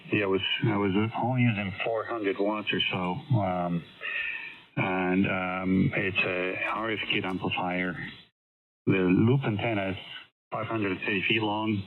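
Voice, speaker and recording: Male ham operator, lowish voice, thin narrowband radio signal with noise, casual halting talk. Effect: ham radio transmission.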